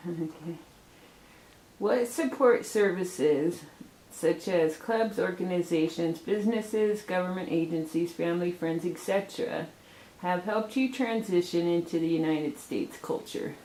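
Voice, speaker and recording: Low-voiced young woman asking questions. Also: a little slow, mellow, slight background noise. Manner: reads prepared questions, short reactions. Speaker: student interviewer. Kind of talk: casual interview. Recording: echoey room.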